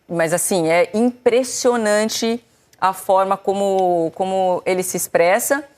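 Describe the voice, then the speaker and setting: A woman's voice: mid-range voice, slow, darkish tone. A woman, presenting and summarizing football news in a studio discussion. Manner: explains at length, summarizing and paraphrasing. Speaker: sports TV presenter.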